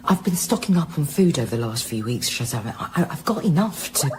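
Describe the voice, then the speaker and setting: A woman's voice: low voice, sing-song, fairly quick, background noise. An actress playing a resourceful, anxious woman scientist in a naturalistic radio drama, conversational and matter-of-fact. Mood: practical.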